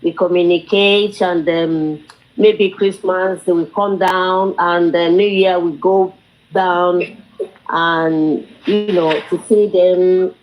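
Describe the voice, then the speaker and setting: A middle-aged Nigerian woman, a native Yoruba speaker, chatting casually in a hesitant, halting way with a Nigerian accent. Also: lowish voice, fairly even intonation, darkish tone, a little hiss or hum, poor audio. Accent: Nigerian Yoruba-language. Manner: hesitant, halting, often says "you know". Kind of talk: casual chat.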